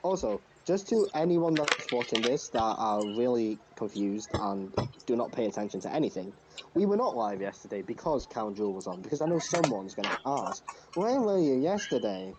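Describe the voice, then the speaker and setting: A man's voice: medium pitch, big pitch swings, normal speed, noisy background. A man co-hosting a podcast in casual chat over a dull, lo-fi microphone. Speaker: podcast co-host.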